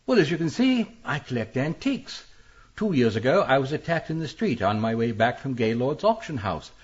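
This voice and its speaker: An actor playing an older, genteel publisher in a radio mystery, formal, smug and easily flustered, with a pompous turn of phrase. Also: male voice, mid-range voice, very animated, moderate pace, husky, muffled recording. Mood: defensive. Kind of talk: radio mystery drama acting.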